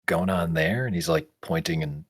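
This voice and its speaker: A game master with a deep, rough-edged voice narrates a tabletop fantasy game. The delivery is improvised and casual, with the thinking done out loud. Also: very animated, normal speed.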